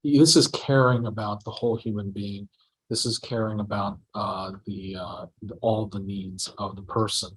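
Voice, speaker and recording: A man's voice: fairly low pitch, steady tone, normal speed, slightly rough, rough recording. A man lawyer speaking in a conference room who stops and restarts often, with many fillers. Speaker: lawyer, panel guest. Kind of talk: conversational panel talk.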